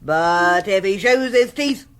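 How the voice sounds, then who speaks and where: An actress playing a blunt, jolly, bossy English sporting sister who teases in slang, in a radio comedy. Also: female voice, medium pitch, slow, close to an everyday voice. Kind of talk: radio comedy drama acting.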